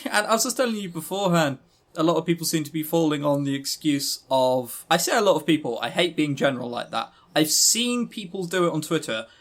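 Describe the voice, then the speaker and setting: Male podcast co-host, higher-pitched, casual and jokey, with teasing mock gravity. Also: lively intonation, a little fast. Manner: joking, teasing, mock-serious.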